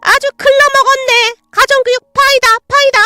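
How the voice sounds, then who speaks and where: A woman voicing a cheeky pet parrot that shouts insults in shrill, repeated bursts. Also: level tone, normal speed, dry recording, soft background music. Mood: taunting. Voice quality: shrill, squawking. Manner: repeats words in loud chanting bursts.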